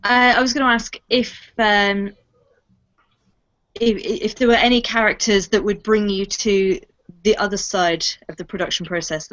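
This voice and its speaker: A woman from an audio drama cast, asking curious, halting questions in a casual panel chat. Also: medium pitch, fairly bright tone. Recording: muffled lo-fi recording.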